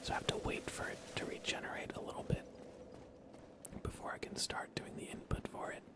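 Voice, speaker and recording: A man whispers his way through live video game commentary in an ASMR style. His delivery stays hushed and soothing, with casual, unscripted phrasing throughout. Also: brisk. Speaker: game livestreamer.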